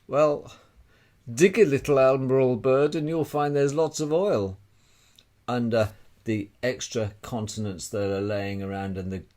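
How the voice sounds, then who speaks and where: A man's voice: mid-range voice, steady tone, measured pace, a little mellow. A man giving an informal, emphatic explanatory monologue as an amateur presenter, repeating phrases and posing rhetorical questions.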